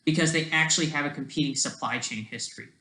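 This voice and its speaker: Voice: mid-range voice, fast talker, bright, forceful tone. A person giving rambling, off-the-cuff commentary as an amateur creator, often breaking off mid-sentence and tagging statements with "right?".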